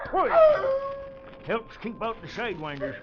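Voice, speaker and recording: An actor playing a crusty, cantankerous old desert mule skinner with folksy rustic speech in a radio drama. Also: male voice, high-pitched, expressive, measured pace. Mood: folksy, dry. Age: older. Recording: muffled old radio recording, heavy noise.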